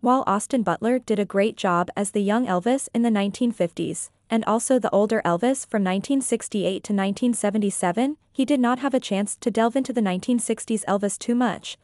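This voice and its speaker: A woman's voice: medium pitch, a little fast, hardly any pauses, no room sound. A woman reviewer reading her scripted narration in an earnest, opinionated way into a close mic. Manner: earnest, opinionated, even read-out delivery. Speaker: reviewer and author.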